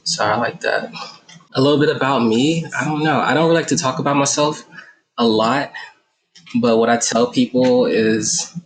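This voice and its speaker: A man in his thirties from Guyana, a podcast guest talking in a relaxed, reflective way with many fillers. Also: medium pitch, normal speed, low-quality recording. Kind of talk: casual interview talk.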